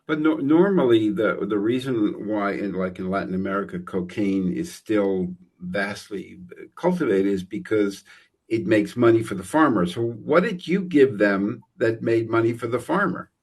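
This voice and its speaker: A male host with a low voice who asks eager questions. He cuts off his own words and starts over as he talks. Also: normal speed, darkish tone, breathy edge. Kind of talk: interview questions.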